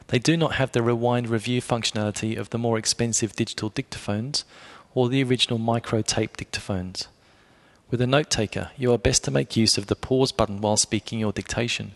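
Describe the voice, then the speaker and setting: A man reading a scripted explainer review as a blogger, in a measured way, speaking directly to the listener. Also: mid-range voice. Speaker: blogger presenting a product review.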